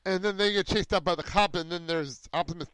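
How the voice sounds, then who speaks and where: A man hosting a podcast, reacting in loud disbelief, exclaiming and laughing as he recaps a cartoon episode. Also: high voice, fast talker, clear, resonant tone, dry recording. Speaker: podcast host. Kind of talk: casual podcast chat.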